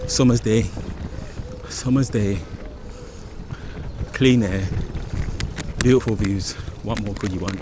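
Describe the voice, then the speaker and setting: A man's voice: on the low side, slow, long pauses. Chatty man vlogging outdoors. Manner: excitable, slangy, lots of fillers. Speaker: travel vlogger. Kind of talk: casual vlog to camera. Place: outdoors on city streets and in a park. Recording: outdoor city noise behind.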